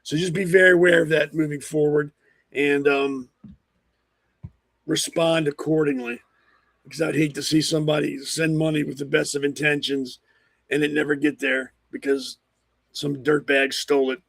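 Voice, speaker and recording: A man hosting a political talk show, blunt and rambling with lots of ums, hoarse from a cold. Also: a little high, moderate pace, a bit bright, no room sound. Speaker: podcast host. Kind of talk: opinionated political commentary.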